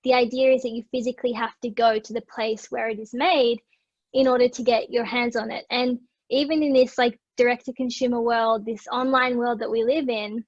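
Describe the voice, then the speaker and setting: An Australian woman chats easily in a slightly high voice, loose and full of fillers. The recording is dry and a bit muffled. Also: little pitch movement, fairly quick, dry recording. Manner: chatty, loose, many fillers. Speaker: digital marketer for wineries. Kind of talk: casual conversation. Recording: dry, dull lo-fi sound.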